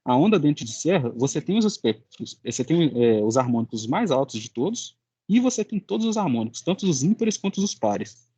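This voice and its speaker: A young Brazilian man giving an informal explanatory presentation as a student, often halting to search for words. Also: fairly high pitch, very animated, mellow, slightly rough, no room sound. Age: young adult. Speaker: student presenting final project.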